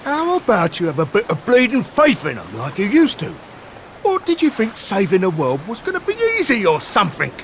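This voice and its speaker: A man playing a burly, loyal ship's crewman in a video game, blunt and rough-and-ready with a Cockney accent. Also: mid-range voice, very animated, measured pace, a bit bright, a little hiss or hum. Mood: exasperated. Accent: British, Cockney. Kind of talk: video game cutscene acting.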